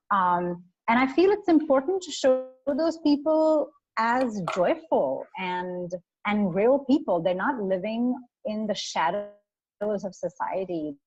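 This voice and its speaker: A woman's voice: fairly low pitch, no echo. A woman with an Indian accent, a guest speaking earnestly and reflectively in a casual interview, with frequent fillers. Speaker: guest, photographer. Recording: muffled, dry sound.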